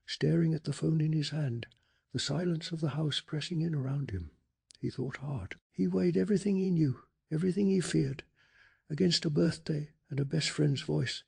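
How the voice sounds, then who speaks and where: Male ASMR narrator, hushed and deliberate, extremely husky and crackly, for scripted bedtime stories. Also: a little high. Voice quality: breathy, gravelly, hushed. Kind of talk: soft-spoken ASMR scripted story narration.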